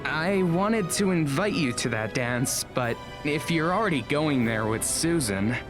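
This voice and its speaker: A woman plays a shy, awkward teenage schoolboy in an audio drama. The voice is fairly low with animated intonation, polite but hesitant and stammering. Music is clearly heard behind it. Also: unhurried. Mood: shy, nervous.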